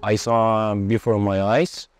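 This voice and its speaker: A young man in his twenties from Afghanistan, a filmmaker, speaking slow and careful in a documentary interview. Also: fairly low pitch, flat delivery, no echo, soft background music. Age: 20s. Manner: slow, careful non-native speech with pauses.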